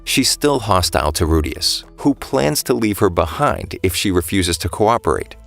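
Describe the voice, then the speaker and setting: Very deep-voiced man narrating a story recap. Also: very animated, moderate pace, gravelly, fairly bright tone, soft background music. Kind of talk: scripted storytelling narration, plot summary.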